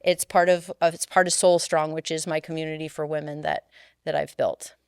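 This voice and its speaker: A middle-aged woman co-hosting a podcast, talking earnestly in a casual chat and getting animated when she tells stories. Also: low voice, fairly quick, clean tone, dry recording, clean studio sound. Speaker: podcast co-host and coach.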